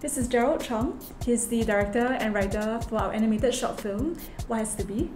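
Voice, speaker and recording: A woman's voice: on the high side, moderate pace, music in the background. Young Singaporean woman, echoey interview. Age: young adult. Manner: informal, frequent "like". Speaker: co-writer and assistant director. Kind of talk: casual explanatory interview. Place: indoor room.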